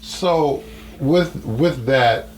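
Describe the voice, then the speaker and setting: A man's voice: medium pitch, extremely slow, poor audio. A man hosting an interview in a casual, chatty style. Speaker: interview host. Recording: faint background noise and hum.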